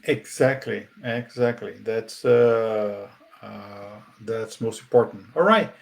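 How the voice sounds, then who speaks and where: A man whose first language is Portuguese, co-hosting a podcast in a casual, halting way with many fillers and restarts. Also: on the low side, gravelly. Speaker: podcast co-host. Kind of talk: casual conversation.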